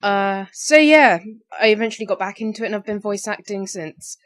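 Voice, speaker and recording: A woman's voice: mid-range voice, expressive, fairly quick. Young woman chatting casually, slightly tired. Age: young adult, about 18. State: slightly tired, recently woken. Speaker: amateur voice actor. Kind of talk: casual question-and-answer vlog.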